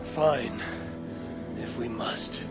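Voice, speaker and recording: Gruff, husky Viking warrior. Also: female voice, extremely low pitch, drawn-out words, loud music behind the voice, heavily acted. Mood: curt, irritated. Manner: terse, blunt, guarded. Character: gruff, suspicious Viking warrior woman, blunt and proud. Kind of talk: video game dramatic acting.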